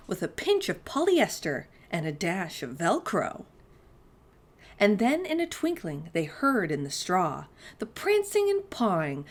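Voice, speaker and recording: A woman hosting a storytime for young children, reading aloud expressively and speaking directly to her listeners. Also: mid-range voice. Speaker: children's storytime host and reader.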